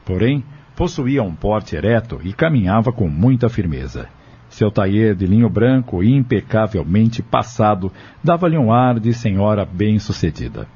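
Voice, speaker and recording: A man narrates a scripted audio novel in a lowish, very mellow voice. The recording is somewhat dull and lo-fi. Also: very animated, normal speed, breathy edge. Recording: dull lo-fi audio with music bed. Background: music.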